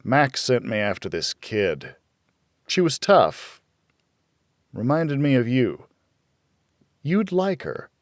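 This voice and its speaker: Weary male werewolf, acted by a man narrating, audiobook noir; low-key and tender, slow delivery, dry sound. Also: very animated, dry recording. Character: world-weary, brooding male werewolf talking tenderly to his wife.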